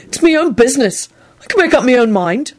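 A woman voicing an excitable, stubborn young man in love in an audio story, blurting his words out emphatically. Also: mid-range voice, a little fast, pitched above the performer's own voice. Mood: defiant.

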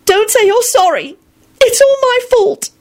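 A woman's voice: extremely high pitch, sing-song, unhurried, a bit bright, pitched above the performer's own voice, full character voice. A woman voicing an emotional, easily flustered young woman in an audiobook story, breathless and exclaiming. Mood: tearful, pleading.

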